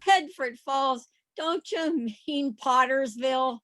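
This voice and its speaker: A hard-boiled, hostile bartender from an amateur radio play, voiced by a woman. The voice is fairly high and slow, with curt, scornful phrasing. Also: sharp tone, full character voice. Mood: gruff, impatient. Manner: curt, tough, contemptuous. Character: gruff, hostile male bartender Nick, adult. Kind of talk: community theatre radio play acting. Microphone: video call.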